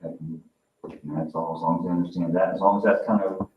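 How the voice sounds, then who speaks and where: A man with a very low, monotone voice chairs a board in a folksy, informal manner. He is picked up from afar in a noisy, reverberant room. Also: fast talker. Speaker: board member chairing the meeting. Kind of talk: informal board discussion and procedure. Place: indoor municipal meeting room. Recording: muffled, echoey, noisy room, distant mic.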